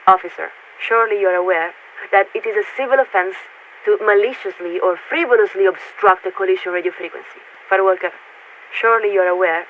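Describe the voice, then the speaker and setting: A woman playing a polite, formal military radio signaler in a video game, speaking in a measured, patient, officious way through a radio filter. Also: mid-range voice. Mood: stern, formal. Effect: radio transmission filter. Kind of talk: scripted game voice acting. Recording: tinny narrow-band radio with static.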